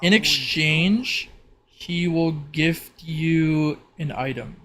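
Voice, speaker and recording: A man acting as game master, improvising narration for a tabletop roleplay in a halting, think-aloud manner. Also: on the high side, fairly bright tone.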